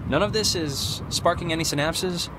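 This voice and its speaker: A young man playing a rambling, excitable American teenage boy who interrupts himself, in naturalistic video game dialogue. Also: fairly high pitch, normal speed, noisy background, lightly acted. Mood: rambling, upbeat. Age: young adult. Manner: rambling, animated, self-interrupting.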